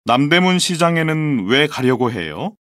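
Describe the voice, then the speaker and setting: Clear-spoken man reading textbook lines. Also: a little high, sing-song, normal speed, a bit bright, no room sound, clean studio sound. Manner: clear, careful enunciation. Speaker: textbook audio voice actor. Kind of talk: scripted textbook dialogue reading.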